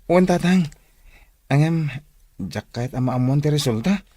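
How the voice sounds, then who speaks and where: A man's voice: fairly high pitch, lively intonation, moderate pace, dark tone. An earnest young man. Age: young adult. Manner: eager, emotional, polite. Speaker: student becoming a teacher. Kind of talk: radio drama acting.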